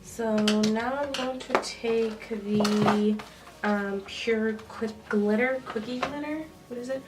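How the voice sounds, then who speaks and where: A woman's voice: a little high, fairly even intonation, slow, music in the background. Nasal young woman chatting through makeup. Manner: chatty, with frequent ums. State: nasal from a cold. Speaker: beauty vlogger. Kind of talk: casual makeup tutorial talk. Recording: slightly distant with faint noise.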